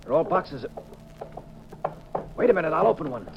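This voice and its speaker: An actor playing a cool, shrewd private detective, brisk and assured, in a hard-boiled 1950s radio drama style. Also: male voice, fairly high pitch, sing-song, creaky. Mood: excited, deducing. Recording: muffled, hissy 1950s radio recording.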